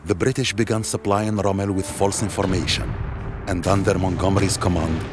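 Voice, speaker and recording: Man as a low-voiced solemn Libyan war veteran. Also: little pitch movement, fairly quick, over music, lightly acted. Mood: solemn, reflective. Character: solemn Libyan father recalling the war, reflective and dignified. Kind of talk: scripted narration in a video game.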